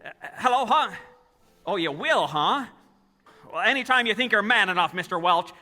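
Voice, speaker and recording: An actor playing a frantic young family man in a radio play, with a stammering, excitable delivery. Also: high-pitched, moderate pace, heavily acted. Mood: angry. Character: frantic, hot-tempered young family man at breaking point. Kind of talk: 1940s radio play acting. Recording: reverberant hall, live stage taping.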